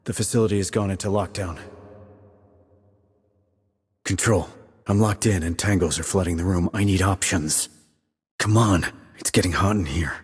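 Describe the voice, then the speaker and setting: A man playing a terse, calm military sniper in a video game, clipped and controlled, reporting in tactical radio calls. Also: normal speed, long pauses, no room sound, studio quality. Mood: controlled, urgent. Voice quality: low, husky. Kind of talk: tactical radio call acting.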